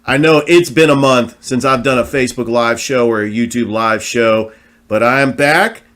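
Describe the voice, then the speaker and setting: A man hosts his own sports show in a lively, casual monologue. He talks with a broadcaster's energy, full of fillers and quick restarts. His voice sounds a little worn as it comes back after being lost. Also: medium pitch. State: recovering from lost voice.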